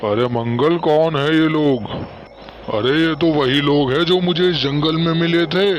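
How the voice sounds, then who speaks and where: A man voicing a knowing old village holy man in a cartoon horror story, slow and grave. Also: on the high side, noisy background, close to an everyday voice. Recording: dull lo-fi audio under music.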